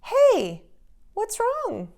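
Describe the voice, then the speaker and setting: A woman's voice: very high voice, huge pitch swings, very slow, creaky, mellow, pitched above the performer's own voice, full character voice. Friendly male restaurant owner, read by a woman, encouraging and lilting, for a picture book. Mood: cheery. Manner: sing-song, encouraging. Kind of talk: children's storybook read-aloud.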